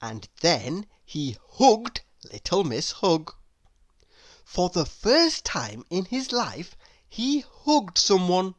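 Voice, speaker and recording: A woman narrating a children's story in an expressive, emphatic read-aloud style. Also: lowish voice, slow, dry recording. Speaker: storybook reader.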